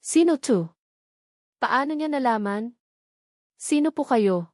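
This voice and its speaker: A woman's voice: high voice, lively intonation, takes it slowly, a little mellow, no echo, studio quality, pitched above the performer's own voice. A woman voicing a modest, polite young surrogate mother, anxious but brave, in an audio drama. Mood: shaken. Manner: polite, guarded. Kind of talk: audio drama heroine dialogue.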